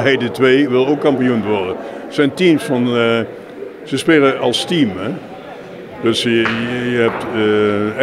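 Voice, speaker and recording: A man explaining his sport in an informal interview, with halting, filler-strewn delivery. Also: medium pitch. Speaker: jeu de boules club representative being interviewed. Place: busy sports hall, on location. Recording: noisy venue with clear background ambience.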